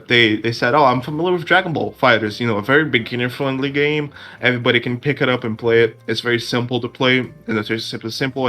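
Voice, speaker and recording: Bright-voiced man. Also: mid-range voice. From Brazil. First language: Portuguese. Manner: earnest, with restarts. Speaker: podcast co-host. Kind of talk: casual chat.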